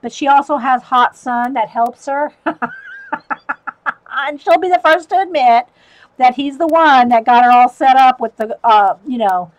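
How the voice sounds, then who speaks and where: Lady chatting w/ her stream while drawing, kinda high voice, laughs a lot. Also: unhurried, dull audio. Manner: chatty, talks to viewers, laughs often. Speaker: artist livestreamer. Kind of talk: casual chat while drawing.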